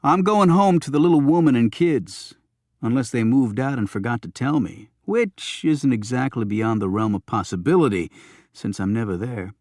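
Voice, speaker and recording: A man's voice: mid-range voice, lively intonation, normal speed, darkish tone, no room sound, clean studio sound, lightly acted. A man playing a wisecracking, weary Secret Service agent in an audiobook, joking in a colloquial way. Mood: wry, joking.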